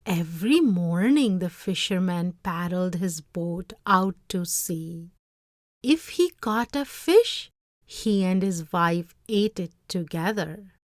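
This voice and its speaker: A woman reading a story aloud as narrator, with expressive, dramatic phrasing. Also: on the low side, takes it slowly, no echo, clean studio sound.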